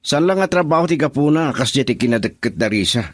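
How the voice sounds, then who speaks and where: A young male suitor in a radio drama, played by a man, pushy and scornful. His pitch swings widely, and the sound is close and dry. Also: animated, fast, dry recording, close to an everyday voice. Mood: scheming, incredulous. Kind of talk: Ilocano radio drama acting.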